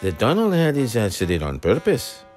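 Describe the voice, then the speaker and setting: A man's voice: mid-range voice, huge pitch swings, moderate pace, a little mellow, faint music behind. A man voicing a wry male steam engine. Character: worried Scottish steam engine, male twin.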